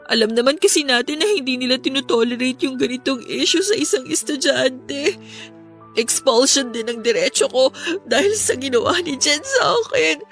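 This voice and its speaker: A woman's voice: high-pitched, expressive, normal speed, soft background music. A woman playing an ashamed, fearful schoolgirl daughter, meek and sorrowful, in an emotional radio drama. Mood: downcast.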